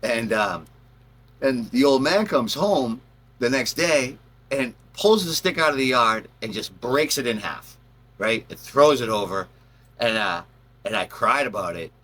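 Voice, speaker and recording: A man's voice: medium pitch, expressive, unhurried, a bit bright, husky. A man telling personal stories in a casual, rambling way, acting out bits as he goes. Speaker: storyteller, channel host.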